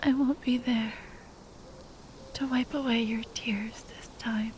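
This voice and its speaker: A woman playing a tender, grieving spellcaster lover in an ASMR roleplay, speaking in a breathy, halting whisper. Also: a little high, steady tone, a little hiss or hum. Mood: tender, sad. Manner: halting, tender, sighing delivery.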